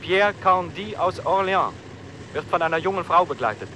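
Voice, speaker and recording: An actor playing a stern police dispatcher in an old radio crime play, reading a bulletin in a clipped, official, commanding manner. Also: male voice, high-pitched, animated, normal speed, sharp tone, slight background noise. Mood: urgent, official.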